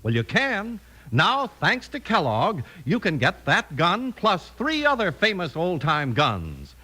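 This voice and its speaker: Male 1950s radio announcer, lively swooping intonation, bright forceful tone, emphatic, polished script reading. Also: high voice, takes it slowly. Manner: emphatic salesman-like delivery. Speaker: announcer and narrator.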